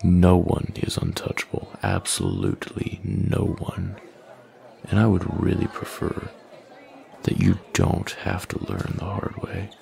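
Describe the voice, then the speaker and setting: A man playing a smug, menacing young male bully in an intimate audio roleplay, taunting with slow, deliberate threats in a deep, gravelly voice. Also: very animated, mellow, some chatter behind, heavily acted. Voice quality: deep, gravelly, breathy at line ends.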